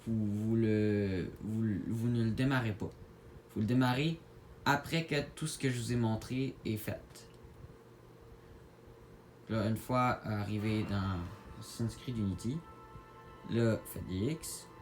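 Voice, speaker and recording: A man with a Québécois French accent giving a casual tutorial to viewers, halting and repeating his words as he goes. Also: lowish voice, fairly even intonation, soft background music. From Quebec, Canada. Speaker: gaming video creator. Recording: clear background noise, home-made recording.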